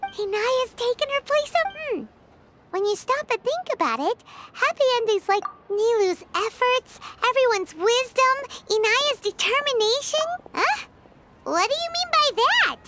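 A woman voicing a tiny, childlike fairy companion in a video game, squeaky, nosy and exaggerated. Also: expressive, a little slow, background noise. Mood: curious. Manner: exaggerated, inquisitive. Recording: music behind the voice. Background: background music.

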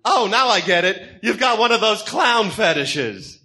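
A man's voice: high-pitched, very animated, unhurried, sharp tone, heavily acted. A man playing a manic, sleazy clown villain with a giggly, mocking, theatrical delivery in a comic radio drama. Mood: giddy, mocking.

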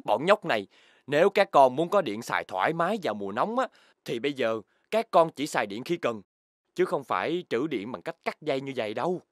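A man's voice: mid-range voice, sing-song, fairly quick, no room sound, clean studio sound, lightly acted. A man voicing a stern adult worker in a children's cartoon, admonishing and lecturing. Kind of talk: Vietnamese children's cartoon dubbing.

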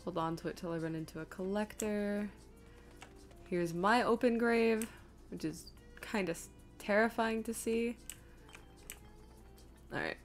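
A woman's voice: medium pitch, unhurried, pauses often, soft background music. A woman streamer giving casual live gameplay commentary, chattily thinking aloud to herself and her viewers. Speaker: video game streamer.

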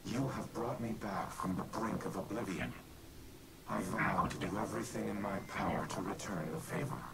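A man voicing an ancient, cold warmind AI in a robot frame, speaking in a measured, formal, whispery way for a video game cutscene. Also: fairly low pitch. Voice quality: gravelly, whispery machine voice. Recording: noisy game capture.

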